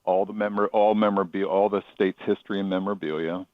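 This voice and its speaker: A man's voice: low-pitched, fairly even intonation, fast, dry recording, phone-quality sound. A man giving informal, explanatory answers as a guest in a radio interview. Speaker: chairman of a racetrack authority, interview guest.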